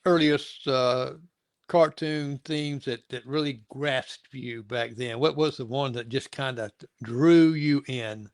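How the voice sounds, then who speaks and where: A man's voice: medium pitch, slow pace, clear, resonant tone, no room sound. A middle-aged or older man hosting a casual interview, talking in a rambling, folksy way and stammering over repeated words. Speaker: show host interviewing a guest.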